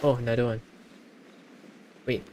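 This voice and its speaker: A man's voice: medium pitch, little pitch movement, measured pace, darkish tone, slight background noise. A man streaming a video game, giving casual live commentary in short muttered reactions with some mild swearing.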